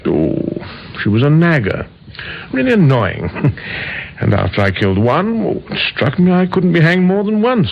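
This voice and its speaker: Radio drama role: smug, cheerful madman killer, lively intonation, hissy old recording. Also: fairly high pitch, normal speed, vocal fry, lo-fi sound. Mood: gleeful pride. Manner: chatty, breezy, chuckling confessions. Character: cheerful, deranged male vigilante killer, smugly proud.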